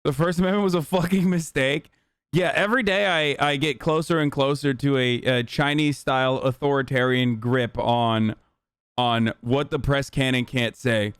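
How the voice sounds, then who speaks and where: A man's voice: mid-range voice, steady tone, smooth, no room sound, clean studio sound. A man whose first language is Turkish, ranting heatedly and profanely while reacting on a live stream. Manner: profane, heated, rapid rants. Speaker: Twitch streamer.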